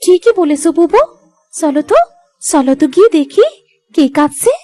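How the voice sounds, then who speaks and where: Woman as very high, childlike young village wife. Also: animated, moderate pace, warm tone, faint music behind. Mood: concerned, soothing. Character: tender young village daughter-in-law, childlike and sensitive. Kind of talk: animated moral story acting.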